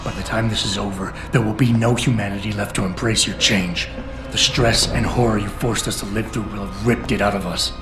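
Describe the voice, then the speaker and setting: Brief: an actor as a stern, low-voiced male agent, blunt delivery, echoey mix with music. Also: steady tone, a little fast, lightly acted. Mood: confrontational. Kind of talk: video game dialogue. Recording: roomy game audio with music.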